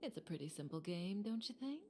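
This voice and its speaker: A woman's voice: lowish voice, animated, a little fast, a little mellow, a little hiss or hum, natural voice. An actress playing a poised, teasing woman villain in a fantasy video game, with composed, fatalistic delivery. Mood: amused, teasing. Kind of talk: video game character acting.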